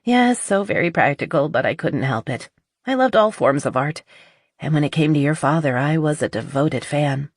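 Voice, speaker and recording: An audiobook narrator voicing a nervous, earnest middle-aged woman confessing, with a halting, shaky delivery. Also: mid-range voice, lively intonation, no echo, clean studio sound. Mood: wistful.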